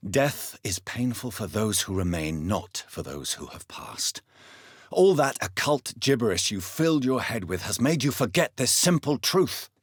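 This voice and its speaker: A grave gentleman doctor turned vampire in a video game. His voice is very low and husky, moving expressively through formal, measured phrases. He stays courteous yet stern, in a close, dry recording. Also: no room sound, exaggerated voice. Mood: composed, courteous, then indignant. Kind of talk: scripted video game character dialogue.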